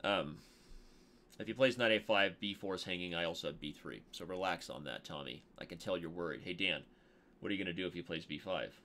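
A man in his thirties streaming from a room on a headset mic, commenting on his own game with excitable, jokey asides while working out moves aloud. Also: deep voice, a little fast. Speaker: chess streamer. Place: indoor home streaming room. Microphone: headset microphone.

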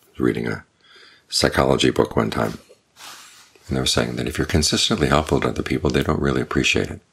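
Older man, very low voice, husky, calm measured monk's talk. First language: English. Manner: calm, measured, unhurried teaching with pauses. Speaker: Buddhist monk giving a Dhamma talk. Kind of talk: evening Dhamma talk.

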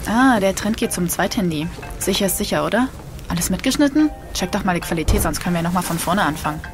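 A woman's voice: on the low side, animated, fast, music in the background. An actress playing a defiant, grieving young woman in an audio drama, blunt, sarcastic and slangy. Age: young adult. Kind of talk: naturalistic German audio drama acting.

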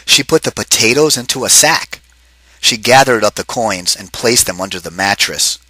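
A man reading a picture book aloud to children, pausing to ask them questions. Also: lowish voice, animated, sharp tone, breathy edge, dry recording, low-quality recording. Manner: asks the kids questions between pages. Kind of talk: interactive read-aloud for children.

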